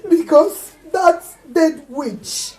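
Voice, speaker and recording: A woman's voice: very high voice, very slow, mellow, exaggerated voice. An actress with a Nigerian accent playing a bitter, grieving mother who cries and wails in a film melodrama. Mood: anguished grief. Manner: wailing, repeating cries. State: crying. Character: grieving, bitter mother mourning her dead son. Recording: distant film microphone.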